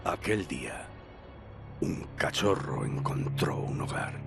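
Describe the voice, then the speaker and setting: A man playing a solemn narrator of a feudal war legend in a video game, husky and gravelly. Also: rumbling low, big pitch swings, takes it slowly, heavily acted. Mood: grave, ominous. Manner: solemn, portentous. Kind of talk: dramatic scripted game narration. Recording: music under the voice.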